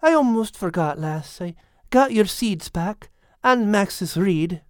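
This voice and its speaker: A man doing a high, folksy tomcat. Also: lively intonation, takes it slowly, dry recording, clean studio sound. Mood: cheerful. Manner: homely folksy talk, calls her "love" and "lass". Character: cheerful, affectionate male cat, proud warrior. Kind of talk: storybook reading.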